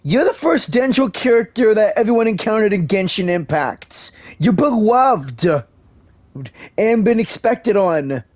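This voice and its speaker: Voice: mid-range voice, animated, a little mellow. A let's-play host commenting playfully on a story game and talking to the characters. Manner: slow, playful, chatting to the characters. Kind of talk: gameplay commentary. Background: game music.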